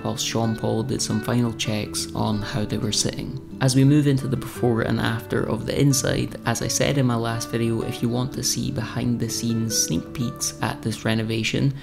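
Young male vlog narrator, low gravelly voice, flat even delivery, music bed under it. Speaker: renovation vlogger. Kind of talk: scripted voice-over narration.

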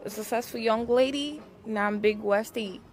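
A woman's voice: a little high, moderate pace, faint music behind. A woman in her twenties being interviewed outdoors, giggly and boastful, answering into a handheld mic. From Nigeria and Morocco. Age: 20s. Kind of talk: comic street interview. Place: outdoor terrace.

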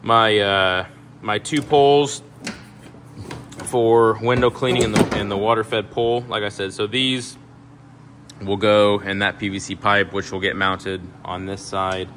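Young man, low voice, unhurried rambling chat, phone mic, faint shop hum. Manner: relaxed, rambling, many ums and restarts. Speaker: pressure washing business owner. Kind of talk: casual walkthrough tour. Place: indoor metal trailer, shop garage. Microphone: handheld phone camera.

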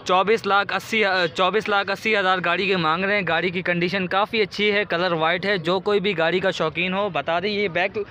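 A man with a Karachi Urdu accent chats casually while filming cars at an outdoor bazaar, asking sellers questions and repeating their answers. His voice sits high and sounds bright and forward. It is picked up by a handheld phone outdoors. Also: fairly even intonation, fairly quick, hardly any pauses. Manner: repeats prices and details back, asks sellers questions. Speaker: vlogger touring a car market. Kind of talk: casual walkaround car review. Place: outdoor car bazaar among crowds.

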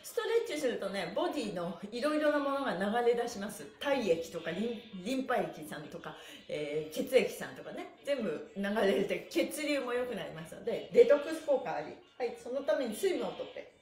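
High-voiced, animated woman leading stretches. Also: a little fast, soft background music. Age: middle-aged. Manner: cheerful, exuberant, laughing often; playfully polite, adding honorifics to body parts. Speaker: stretching instructor and pantomime performer hosting a morning livestream. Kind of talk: upbeat exercise instruction with chanted counting phrases. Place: small indoor room, seated on a mat by a bare wall. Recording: echoey small bare room.

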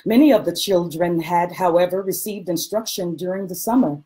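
A woman giving a measured, expressive dramatic reading of a literary text over a video call. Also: fairly low pitch. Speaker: reader performing a historical diary. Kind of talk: dramatic literary reading with some sung hymn.

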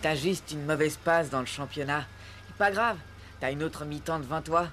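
Man voicing a cocky, lightly pitched teenage boy. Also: normal speed, fairly bright tone, slight background noise, close to an everyday voice. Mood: breezy, confident. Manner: breezy, slangy. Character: cocky, reassuring sixteen-year-old boy. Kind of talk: French cartoon dub acting.

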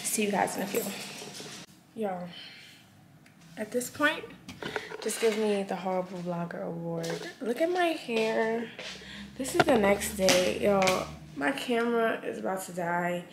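A woman's voice: mid-range voice, measured pace, long pauses. Young woman vlogger, casual and slangy, close camera mic, road noise behind her. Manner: chatty, slangy, encouraging. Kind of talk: casual vlog talk to camera. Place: car, then empty apartment. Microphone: handheld camera close up. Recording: noisy car then echoey room.

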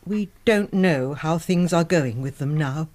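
An actress playing a reserved, respectable Victorian spinster in a period radio drama, speaking formally and guardedly. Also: female voice, sits low, unhurried, darkish tone. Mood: guarded, polite.

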